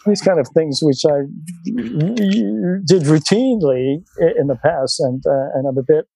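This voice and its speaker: A man's voice: on the high side, animated, measured pace, gravelly, dark tone, slightly rough, rough recording. A Swiss man in his sixties, a podcast interview guest who talks with many ums and restarts and laughs easily. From Switzerland. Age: 60s.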